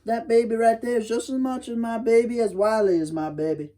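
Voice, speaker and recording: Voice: medium pitch, steady tone, brisk, dark tone, voice pushed up, lightly acted. A storyteller voicing a clever, stubborn country mother who speaks slyly and defiantly. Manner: sly, defiant. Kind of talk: oral folktale telling.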